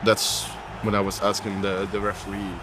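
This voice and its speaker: A man's voice: on the low side, completely flat delivery, normal speed. A man, a footballer, talking casually in a documentary interview. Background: music.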